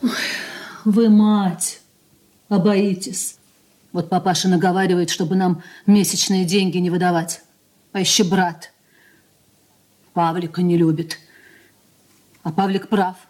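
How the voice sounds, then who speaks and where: An actress in a radio drama playing a resentful, self-righteous married daughter with an aggrieved, scolding manner. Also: female voice, lowish voice, steady tone, slow pace, pauses often, darkish tone. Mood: indignant. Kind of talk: Russian stage-style radio drama acting.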